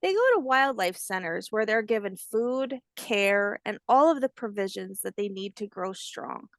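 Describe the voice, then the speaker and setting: A woman voicing a kindly, patient old grandmother alligator, telling facts in a gentle storybook manner. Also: mid-range voice, animated, normal speed, dry recording, studio quality, close to an everyday voice. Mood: patient, informative. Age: older.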